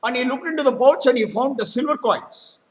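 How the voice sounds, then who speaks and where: A man telling a folktale in a halting, explanatory way, with frequent hesitant pauses. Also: extremely high pitch. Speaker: storyteller. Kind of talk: spoken folktale retelling with vocabulary explanations.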